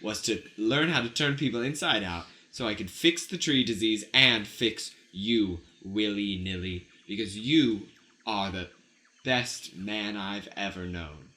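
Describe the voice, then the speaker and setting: A man's voice: fairly low pitch, a little slow, sharp tone. A man voicing a zealous, eccentric female mad scientist, theatrical and grandly matter-of-fact, in an improvised comedy radio play. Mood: impassioned.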